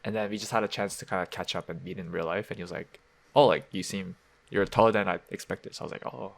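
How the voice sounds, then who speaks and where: A man's voice: sits low, fairly even intonation, fast talker, vocal fry. A young man co-hosting a podcast, talking casually in rambling bursts that trail off. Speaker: podcast co-host. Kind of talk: casual chat.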